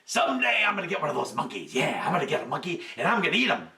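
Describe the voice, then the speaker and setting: A man's voice: on the high side, huge pitch swings, racing, vocal fry, sharp tone, full character voice. A man voicing a greedy young male crocodile who sounds sly, boastful and scheming. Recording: roomy, from a distance.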